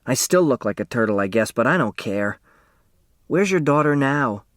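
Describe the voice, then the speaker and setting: A man's voice: medium pitch, expressive, moderate pace, fairly bright tone, dry recording, clean studio sound, natural voice. A man voicing a blunt, stubborn, self-conscious young girl in an audiobook. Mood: blunt, curious. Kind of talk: audiobook character dialogue.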